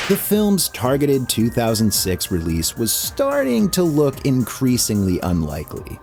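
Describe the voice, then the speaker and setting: A man of average pitch delivers scripted video essay narration over music. His intonation moves up and down in a very animated way, and he comes across as a wry, casual host. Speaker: host and narrator of a video game history show.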